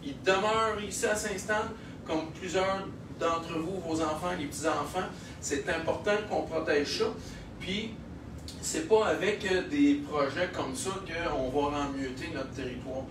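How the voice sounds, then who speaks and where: Québécois brewer addressing a crowd, somewhat high-pitched, chuckling and lively; distant, roomy, noisy recording. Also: male voice, measured pace. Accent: Québécois French. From Quebec. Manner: animated, chuckling, many fillers. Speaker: microbrewery owner. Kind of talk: speech at a local launch event. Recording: echoey room, heard from a distance, noisy.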